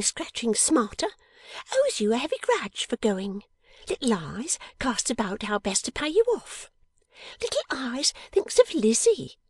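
Sharp young dressmaker, high swooping voice, impatient, bossy, scolding, theatrical storybook character. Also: female voice, husky, no echo, full character voice. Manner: emphatic, scolding, theatrical exclamations. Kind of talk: dramatised audiobook character reading.

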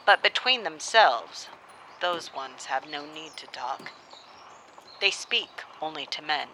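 A woman playing a solemn, proud keeper of old traditions in a radio drama, speaking in a measured, deliberate way. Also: low voice, expressive. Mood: solemn, hushed. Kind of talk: radio drama acting, storytelling interview. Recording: faintly noisy old cylinder recording.

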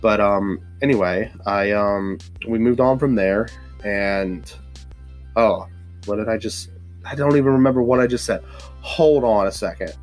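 A man's voice: on the low side, measured pace, soft background music. Stuffy-nosed man rambling casually. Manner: rambling, many fillers and restarts. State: stuffy nose. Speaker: podcast host. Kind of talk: casual solo podcast talk. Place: hot humid home studio with fans running.

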